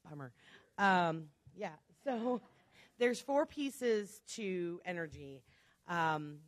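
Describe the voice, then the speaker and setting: A woman originally from Chicago giving a chatty, fast-paced talk to a club audience, with joking asides. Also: deep voice, dry recording. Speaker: guest speaker.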